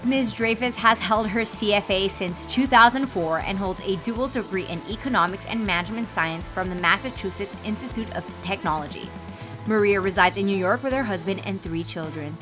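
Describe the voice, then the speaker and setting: A woman's voice: medium pitch, brisk. A woman hosting a podcast, reading a guest introduction and then asking questions in a polite, affirming way. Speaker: podcast host. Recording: faint music behind.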